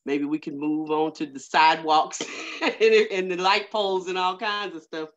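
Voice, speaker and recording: A woman's voice: sits low, little pitch movement, normal speed, fairly bright tone. Hesitant woman on a muffled call. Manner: rambling, hesitant, many fillers. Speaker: community working group member. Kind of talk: casual meeting remarks. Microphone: video call. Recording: muffled lo-fi video call audio.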